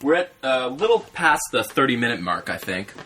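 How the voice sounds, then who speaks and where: A man's voice: medium pitch, big pitch swings, moderate pace, sharp tone, slight background noise. A man co-hosting a podcast in casual banter, joking in a mock-serious, hesitant way. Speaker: podcast co-host.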